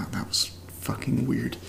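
A man's voice: medium pitch, flat delivery, slow pace, vocal fry, a bit bright, slight background noise, exaggerated voice. An actor playing an uneasy man documenting his new house in a found footage horror audio drama, hesitant, muttering and sweary. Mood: rattled.

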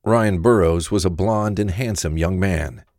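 Deep voiced guy narrating a crime audio drama, super dry sound. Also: unhurried, darkish tone, no room sound.

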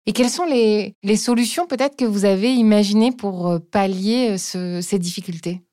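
A woman hosting a podcast, reading a scripted introduction and asking calm, even interview questions. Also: mid-range voice, moderate pace, no echo, clean studio sound. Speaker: podcast host.

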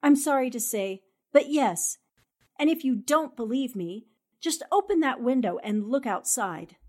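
A woman acts out a bold newspaperwoman in an old radio drama. Her high voice is very lively in pitch, assertive and insistent, firing out questions. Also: a little slow. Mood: urgent warning. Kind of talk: 1940s radio drama acting.

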